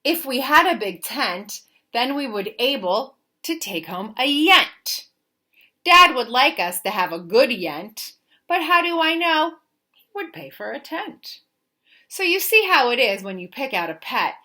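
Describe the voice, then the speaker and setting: A woman reads a children's story aloud in a bright, fairly high voice. Her delivery is slow and deliberate, with sing-song emphasis and big swings in pitch, as a teacher would read to small children. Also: big pitch swings. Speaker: teacher reading a picture book aloud.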